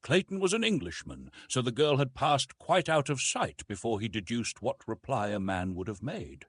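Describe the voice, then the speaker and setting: A man narrates an adventure novel in a dramatic, expressive manner. His pitch moves widely as he reads, and his voice has a husky grain in a dry, close recording. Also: moderate pace, dry recording. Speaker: audiobook narrator.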